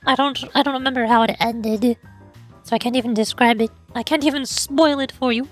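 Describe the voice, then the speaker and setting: A young woman streaming a game in casual, rambling chat, her voice hoarse and fading. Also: on the high side, steady tone, brisk, soft background music. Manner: rambling, self-pitying banter with fillers. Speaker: VTuber streamer.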